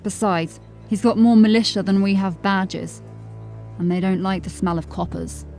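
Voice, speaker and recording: A woman playing a diligent, drily witty police constable in a fantasy audio drama, articulate and measured. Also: mid-range voice, fairly even intonation, dark tone, soft background music, lightly acted. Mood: measured, wry.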